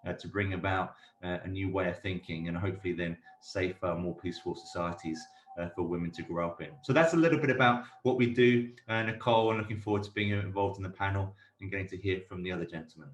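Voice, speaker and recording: A man's voice: low-pitched, fast talker. A man speaking earnestly with frequent fillers as a panelist on a video call. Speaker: panelist from a sport-based violence prevention group. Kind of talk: online panel talk. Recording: roomy, distant video call audio.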